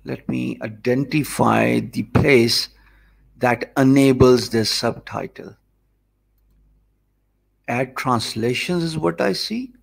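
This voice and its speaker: A man in his forties with a Pakistani English accent, talking deliberately slowly and clearly so every viewer can follow. His voice is mid-pitched with a gravelly edge, and he thinks aloud in a loose, conversational way. He speaks into a desk mic in an indoor office. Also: rough recording. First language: Urdu. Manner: deliberately slow, easy speech. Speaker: online course instructor. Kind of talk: live stream talk to viewers. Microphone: desk mic in front of him.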